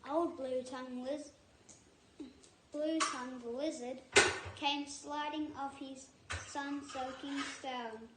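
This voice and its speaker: A woman reading a picture book aloud in a halting, deliberate way, stopping to add personal asides. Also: sits high, steady tone. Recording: echoey room with faint noise.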